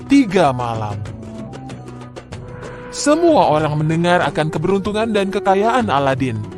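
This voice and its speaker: A man with a high, animated voice tells a children's fairy tale. His scripted narration is expressive and deliberate, with soft music behind it. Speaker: storyteller.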